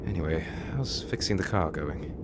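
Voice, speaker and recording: A man playing a fretful, sardonic gentleman in an audio drama, a reluctant companion who complains drily and formally. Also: a bass voice, expressive, normal speed, background noise. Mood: dry, sardonic. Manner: dry, complaining, formal wording.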